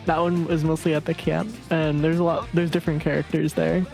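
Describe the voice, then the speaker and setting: A woman's voice: sits low, level tone, fairly quick, gravelly, mellow, faint music behind. A woman streamer chatting casually and reading game text aloud on a livestream.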